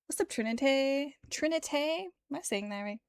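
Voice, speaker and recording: High-voiced bubbly woman streamer. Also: expressive, a little slow, no room sound. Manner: bubbly, giggly, friendly. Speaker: livestreamer, host. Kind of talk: casual livestream chat, greeting viewers. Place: indoor livestream setup.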